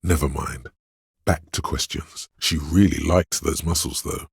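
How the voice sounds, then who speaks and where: A man voicing the hedonistic inner voice of addiction in a video game, a sleazy tempter in the brain with a gravelly, husky, goading drawl. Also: extremely low pitch, fairly even intonation, a little slow, no room sound, clean studio sound, full character voice. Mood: sleazy, coaxing.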